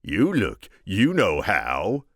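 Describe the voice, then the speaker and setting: A man voicing a tiny, henpecked old farmer with a halting, bewildered manner. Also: sits low, wildly swooping pitch, a bit bright, dry recording, clean studio sound, full character voice. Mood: solemn.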